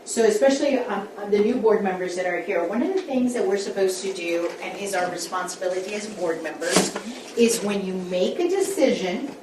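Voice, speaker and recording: A woman's voice: medium pitch, expressive, normal speed, noisy background. A woman school board member speaking candidly, coaching colleagues through a group workshop in a meeting room. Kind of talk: workshop discussion. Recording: echoey room, distant mic.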